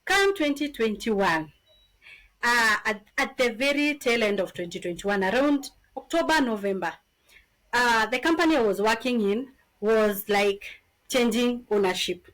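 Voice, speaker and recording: A woman in her thirties from Kenya with a Kenyan accent, a podcast guest telling her story in an animated, casual way. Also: a little high, a little slow, poor audio. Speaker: podcast guest, business founder.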